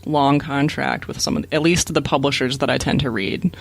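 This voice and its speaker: A man's voice: mid-range voice, normal speed, gravelly, no echo. A man co-hosting a podcast, explaining things in a casual, matter-of-fact way. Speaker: podcast co-host.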